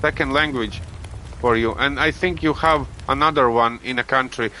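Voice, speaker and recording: A man from Latvia with a Latvian accent, streaming a video game and chatting casually with viewers, halting with many restarts and fillers. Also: medium pitch, background noise. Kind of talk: casual gameplay chat with viewers. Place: home gaming setup.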